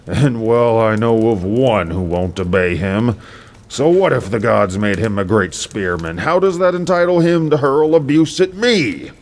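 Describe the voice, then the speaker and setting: A man's voice: on the low side, a little slow, darkish tone. A man playing a proud, indignant Greek king, jealous of his authority, speaking emphatically in an audio drama of an ancient epic.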